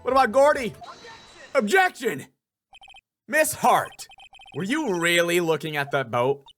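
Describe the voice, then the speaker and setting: Man voicing an animated, earnest young defense attorney. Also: high-pitched, unhurried, soft background music, voice pushed up, exaggerated voice. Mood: accusing. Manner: emphatic, accusing, inner musing.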